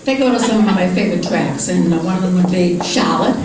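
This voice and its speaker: An older woman from Boston with a Boston accent doing a stand-up comedy set, unhurried and deadpan, with many "uh" fillers. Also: fairly low pitch. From Boston, USA. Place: large comedy club with live audience. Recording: echoey club recorded from far off. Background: crowd laughter and room noise.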